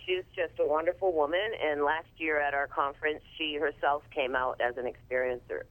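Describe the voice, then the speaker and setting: A woman's voice: deep voice, steady tone, fairly quick, fairly bright tone. A woman speaking over a telephone line as an interview guest, talking casually and informatively about what she organizes.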